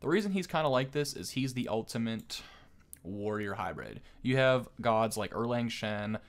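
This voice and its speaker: A man's voice: medium pitch, animated, normal speed. A man giving casual game commentary as a video host, explaining things in a conversational way.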